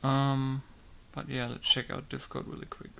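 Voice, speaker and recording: Laid-back gravelly man chatting casually. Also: medium pitch, flat delivery, normal speed. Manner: rambling, laid-back, often trails off quietly. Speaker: video game modder. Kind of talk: casual commentary over gameplay.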